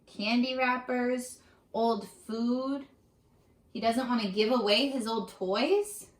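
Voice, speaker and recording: A woman reading aloud to children as a teacher, addressing them directly with questions in an animated, instructional way. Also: a little high, takes it slowly. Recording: roomy, echoing sound.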